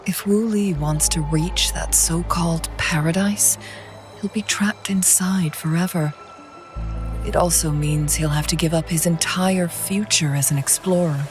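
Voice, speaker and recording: An actress voicing a composed, astute young woman in a game, calm, enigmatic and measured. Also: fairly low pitch, soft background music, close to an everyday voice. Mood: gentle, solemn. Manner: measured, poised, deliberate. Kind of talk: dramatic game voice acting.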